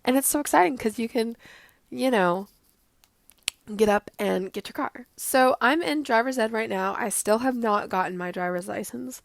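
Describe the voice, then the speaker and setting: A teenage girl hosting her own podcast, slightly hoarse from a cold, chatting casually and rambling with lots of fillers. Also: fairly high pitch, normal speed, no echo. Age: teens. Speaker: podcast host. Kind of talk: casual solo podcast chat.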